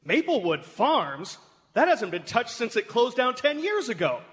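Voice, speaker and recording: An actor playing a fretful, fussy small-town factory worker who exclaims dramatically in a comic radio play. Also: male voice, very high voice, very animated, a little slow, fairly bright tone, exaggerated voice. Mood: alarmed. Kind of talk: comic radio theatre acting. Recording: reverberant hall stage recording.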